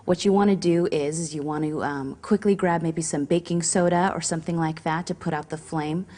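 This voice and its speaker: A woman's voice: deep voice, steady tone, fairly quick, mellow. A woman giving instructional safety advice in a hesitant, explanatory way. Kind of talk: semi-scripted instructional explanation.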